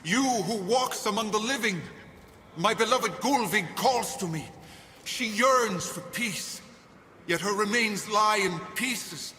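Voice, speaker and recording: A man's voice: sits high, slow, sharp tone, exaggerated voice. An actor playing a mournful male spirit who pleads solemnly and formally for a lost beloved in a fantasy video game. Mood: mournful, pleading. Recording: long cavernous reverb, faint noise.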